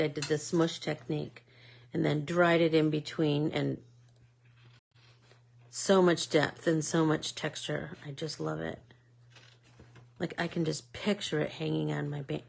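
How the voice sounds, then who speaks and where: Slow, gravelly Midwestern woman chatting. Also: low voice, flat delivery. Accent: American Midwest. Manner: chatty, rambling, many fillers. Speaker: art class host. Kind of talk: casual chat while painting.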